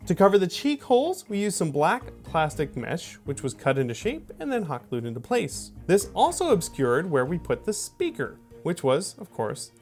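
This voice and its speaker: A man hosting a maker tutorial, narrating in a friendly, casual, upbeat way from a script. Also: a little high. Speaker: channel host and costume maker.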